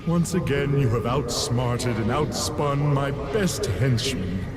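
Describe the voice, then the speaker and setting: A man playing a vain, exasperated mad scientist in a cartoon game, sardonic and self-pitying, with theatrical drawn-out phrasing. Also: mid-range voice, lively intonation, a loud crowd. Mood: mock calm. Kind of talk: cartoon game villain acting. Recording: loud music under the voice.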